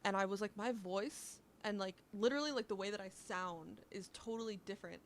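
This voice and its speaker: A woman's voice: mid-range voice, a little fast, slight background noise. Chatty woman in her twenties. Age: 20s. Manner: chatty, filler-heavy, self-questioning. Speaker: podcast co-host. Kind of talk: casual chat.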